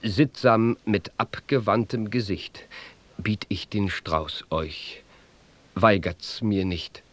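An actor playing a lovestruck, courtly knight in a rhymed fairy-tale drama, speaking in formal verse. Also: male voice, fairly low pitch, takes it slowly, fairly bright tone. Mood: courtly wooing. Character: lovestruck noble knight, captive turned gardener, courtly and eager. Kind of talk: rhymed theatrical musical fairy-tale acting.

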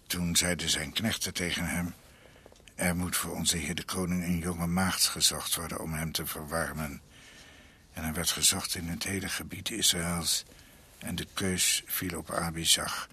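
Casting: ageing self-reproaching doctor, husky yet forceful, halting delivery, radio play. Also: male voice, sits low, heavily acted. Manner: halting, muttering to himself in broken phrases. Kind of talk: radio drama acting.

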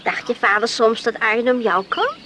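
Lively, animated young farm girl. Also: on the high side, moderate pace, noisy background. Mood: curious, teasing. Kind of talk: Dutch radio play acting.

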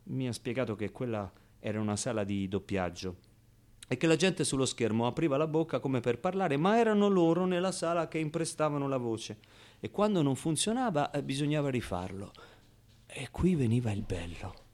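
A man performing a dramatic radio monologue as a streetwise, wounded ten-year-old orphan boy, telling his story in colloquial, halting speech. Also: mid-range voice, animated, a little mellow. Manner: colloquial, hesitant storytelling with repeated words. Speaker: actor-narrator. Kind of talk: dramatic radio theatre monologue in a child character's voice.

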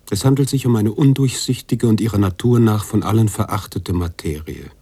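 Very husky, brooding male writer. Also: lowish voice, dry recording. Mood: grave, measured. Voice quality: husky, hushed at times. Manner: deliberate, confessional. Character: brooding, guilt-ridden male writer narrating his own story. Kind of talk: literary radio drama monologue.

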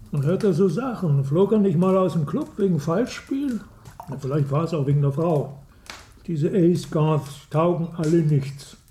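A man's voice: fairly high pitch, mellow, natural voice. An actor playing a disapproving, gossipy middle-aged father in a radio drama, grumbling and dismissive. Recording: roomy, with clinking tableware noise.

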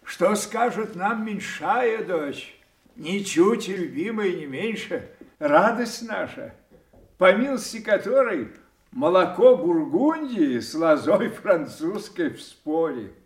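An imperious old king, speaks in a high-set voice with sweeping pitch. He declaims slowly and solemnly for a classical audio drama, commanding and quick to anger, in an echoey space. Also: animated, exaggerated voice. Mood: regal, fond.

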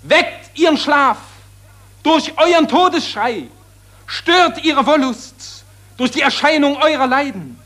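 An actor playing an impassioned satirist who accuses warmongers and summons the war dead, declaiming solemnly in 1940s German radio drama style. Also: male voice, very high-pitched, animated, takes it slowly, exaggerated voice. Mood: fiery rallying cry.

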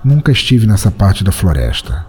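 A man with a Brazilian accent tells a scripted tale over faint music. His voice sits fairly low and is warm and dark, in a dramatic storyteller's style. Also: huge pitch swings, normal speed. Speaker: narrator of a fiction podcast.

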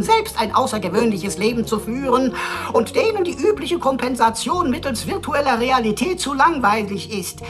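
A woman playing a chatty, cheeky robot sidekick in a radio play, talking in florid, mock-pompous wordplay. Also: high-pitched. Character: quirky, chatty, cheeky robot sidekick computer with flowery speech. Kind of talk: German sci-fi radio play acting.

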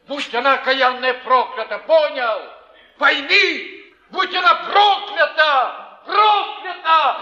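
A tormented, embittered working man on a theatre stage. His voice is extremely high and piercingly forceful, in slow, broken, drawn-out exclamations. The hall echoes around him. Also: lo-fi sound, heavily acted. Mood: bitter hatred.

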